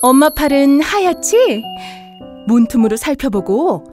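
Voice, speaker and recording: Storybook mother goat: gentle, caring, soft warm tone, faint music underneath. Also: sits high, very animated, a little slow. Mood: caring, cautioning. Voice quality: soft, tender. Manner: motherly, instructing. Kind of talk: children's fairy-tale acting.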